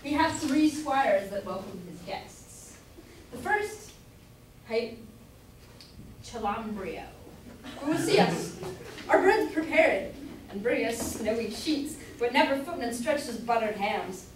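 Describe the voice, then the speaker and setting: Courteous squire, female player, slightly high voice, declamatory amateur stage comedy, picked up from afar. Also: very animated, slow pace, long pauses. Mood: grand, amused. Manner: declamatory, reading archaic verse. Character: courteous, florid squire announcing a castle's hospitality. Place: live staged reading in an echoey room. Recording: roomy, distant mic, faint noise.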